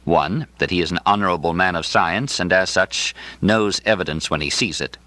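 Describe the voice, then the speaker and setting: A man reading a literary novel in the first person as an audiobook narrator, with a dry, precise and expressive delivery. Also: deep voice, moderate pace, no room sound.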